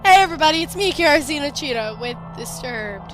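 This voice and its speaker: A young woman playing a text adventure game, reading its lines aloud and commenting in between. She is a little hoarse from a cold, laughs often and swears loudly when the game surprises her. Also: high voice, lively intonation, a little fast, over music, low-quality recording. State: hoarse, getting over a cold. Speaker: let's play gamer.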